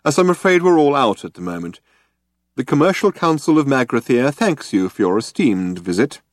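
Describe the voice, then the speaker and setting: A man's voice: medium pitch, very animated, normal speed, no echo, studio quality, pitched above the performer's own voice, lightly acted. A man voicing an ancient recorded announcer, a formally polite old man with an undertone of menace. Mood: courteous, regretful. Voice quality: hollow, reedy, insubstantial. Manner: formal, over-polite, coldly official.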